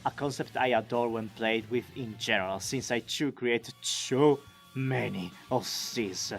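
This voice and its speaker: A man giving an enthusiastic, expressive, chatty scripted recap as a video creator. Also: medium pitch, a little slow, creaky, faint music behind. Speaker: video creator and amateur voice actor.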